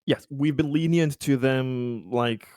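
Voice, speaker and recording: Man, mid-pitched voice, tabletop player, casual analytical talk, repeats words, very dry sound. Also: slow pace, a little mellow, clear, resonant tone, no echo. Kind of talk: casual game strategy discussion.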